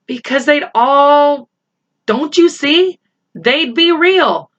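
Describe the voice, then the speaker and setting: A worried young boy, read by a woman, a little raised in pitch. He explains earnestly and haltingly in a storybook manner, on a slightly muffled recording. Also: expressive, fairly bright tone, exaggerated voice. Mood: urgent, worried. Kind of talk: children's storybook character voice.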